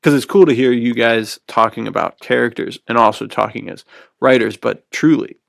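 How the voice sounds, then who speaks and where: A man's voice: medium pitch, normal speed, creaky, no echo, clean studio sound. A man hosting a podcast in casual chat, effusive and slangy with his guests. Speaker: podcast host.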